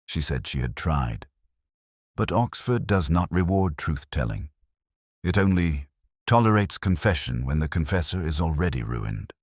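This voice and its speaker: A man playing a brooding, world-weary police inspector, narrating a case in measured, solemn, literary first person. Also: rumbling low, big pitch swings, dry recording, clean studio sound, heavily acted.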